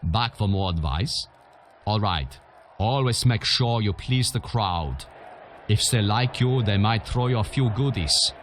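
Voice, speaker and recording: An actor playing a gruff, hardened arena boss in a video game, bossy and blunt. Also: male voice, lowish voice, lively intonation, a little slow, a little hiss or hum. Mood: gruff advice. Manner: commanding, blunt. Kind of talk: video game character acting.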